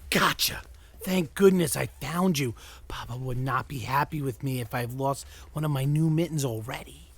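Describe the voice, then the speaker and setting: A man playing a young boy in a readers theater story, with a husky voice. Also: medium pitch, moderate pace. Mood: relieved. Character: relieved boy Nicky finding his lost mitten.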